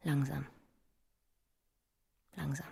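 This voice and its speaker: Female, husky low register a melancholy, passionate Russian intellectual from the 1800s; poetic, earnest radio-drama delivery. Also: steady tone, very slow, dark tone, exaggerated voice. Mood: mournful. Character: 19th-century Russian woman mathematician, passionate, melancholy, mourning. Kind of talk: theatrical radio drama monologue and dialogue.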